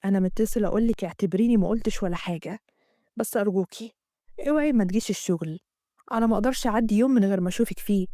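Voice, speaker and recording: A woman voicing a smooth-talking, manipulative young man with an Egyptian accent and a low, soft murmur. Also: very fast, no echo, studio quality, close to an everyday voice. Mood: wooing. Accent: Egyptian Arabic. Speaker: storyteller voicing a character.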